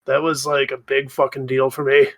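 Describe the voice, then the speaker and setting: A man's voice: mid-range voice, completely flat delivery, moderate pace. A man in his thirties chatting casually as a podcast co-host. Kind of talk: casual chat.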